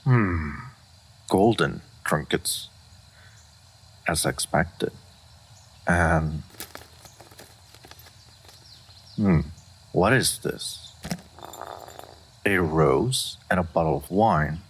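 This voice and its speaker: A man acts out an ancient, regal male dragon in human form for a fantasy audio drama. The voice is very low and husky, with slow, deliberate phrasing. He is guarded and stoic, choosing formal words. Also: expressive, heavily acted. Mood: cool, curious. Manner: formal, measured, stoic speech with deliberate pauses. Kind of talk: scripted fantasy audio roleplay.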